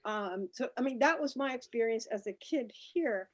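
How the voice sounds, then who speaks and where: A woman's voice: fairly high pitch, animated, normal speed. A woman in her sixties from Cuba, a native Spanish speaker, telling personal stories as a panelist in a conversational way. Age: 60s. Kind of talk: reminiscing panel talk.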